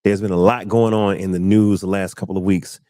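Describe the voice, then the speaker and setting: Laid-back low-voiced male podcast host. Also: flat delivery, fairly quick, dark tone, no echo, studio quality. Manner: laid-back, laughs often, repeats himself. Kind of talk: casual podcast chat.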